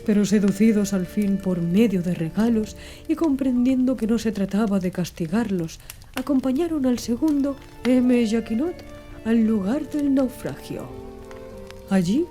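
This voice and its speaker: A woman reads a literary audiobook in a measured, formal manner. Her voice is fairly high yet very dark and velvety, with no sharp edge. Background music plays beneath the narration. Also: expressive.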